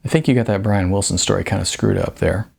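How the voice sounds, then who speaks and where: A man's voice: lowish voice, fast talker, vocal fry, darkish tone, breathy edge, full character voice. A man voicing a sarcastic, argumentative young record store clerk in an audiobook, a music snob with little patience. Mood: dismissive.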